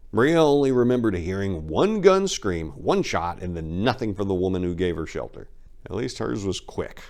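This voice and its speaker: A man's voice: sits low, creaky, darkish tone. A man giving a theatrical, sardonic dramatic reading of a gritty crime story as its narrator.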